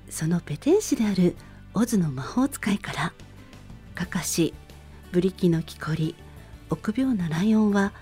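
A woman's voice: lowish voice, a little mellow. A woman host narrating a scripted story synopsis in radio-drama style, phrase by phrase with expression.

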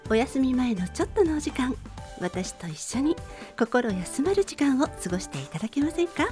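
Lively high-voiced woman hosting radio. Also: normal speed, soft background music. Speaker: radio show host. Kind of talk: relaxed bedtime radio talk with listener letters.

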